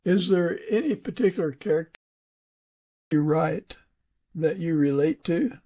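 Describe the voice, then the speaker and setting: A man hosting a podcast in a casual interview style, talking haltingly with pauses mid-sentence. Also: fairly high pitch, expressive, mellow, breathy edge. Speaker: podcast host.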